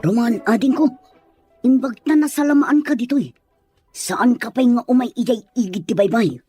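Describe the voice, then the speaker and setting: A woman's voice: a little high, normal speed, warm tone, breathy edge, soft background music. A woman playing an anxious, protective elder sister, pleading with concern in a Filipino radio drama.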